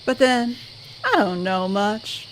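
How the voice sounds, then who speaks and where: A woman's voice: mid-range voice, expressive, takes it slowly, slight background noise, low-quality recording. A woman playing a motherly, no-nonsense band director in an audio drama, folksy and reassuring. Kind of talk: audio drama character acting.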